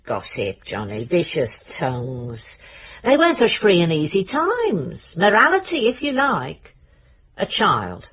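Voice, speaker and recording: A woman's voice: on the low side, huge pitch swings, measured pace, fairly bright tone, slight background noise, exaggerated voice. An actress playing a dry, probing middle-aged British woman investigator in a radio drama, with a wry, understated way of questioning. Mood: pointed, grave.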